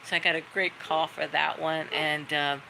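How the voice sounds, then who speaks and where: A middle-aged woman hosts a casual livestream, rambling from one story to the next. Her voice carries a gravelly edge. Steady background noise and barking dogs fill the room behind her. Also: deep voice, normal speed. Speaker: livestream host. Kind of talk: casual chat to viewers.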